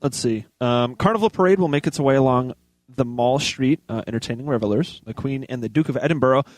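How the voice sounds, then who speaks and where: A man's voice: mid-range voice, a little fast, a little mellow, dry recording. A man co-hosting a talk show, casually reading out news items with offhand asides.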